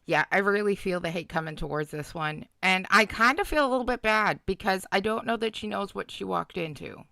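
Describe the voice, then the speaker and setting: A woman's voice: medium pitch, brisk, creaky, bright, forceful tone, dry recording. A woman hosting a casual livestream, chatting reactively to her viewers as she comments along. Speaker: livestream host reacting to a clip. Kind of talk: casual live chat commentary.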